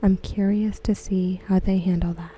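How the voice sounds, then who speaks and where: A woman reading aloud in a soft, breathy, near-whispered ASMR style, gentle and measured, close to the microphone. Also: mid-range voice, level tone, slight background noise. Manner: gentle, hushed, measured delivery. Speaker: ASMR host. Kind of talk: softly spoken ASMR read-aloud of forum comments.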